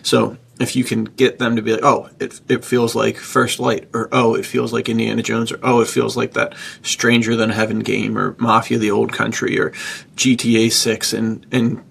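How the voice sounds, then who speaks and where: A man with a husky, gravelly voice, hosting a casual podcast discussion in an encouraging, opinionated manner. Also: fairly low pitch, flat delivery, moderate pace, a bit bright. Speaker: podcast host.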